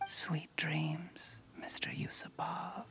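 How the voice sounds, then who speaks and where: An actor playing a smug, scheming man in a video game, speaking in a measured, mock-polite, gloating way. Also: a little high, level tone, pushed and bright, husky, no echo, in a whisper, soft background music, exaggerated voice. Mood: hushed menace. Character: smug, scheming man, calmly villainous conspirator.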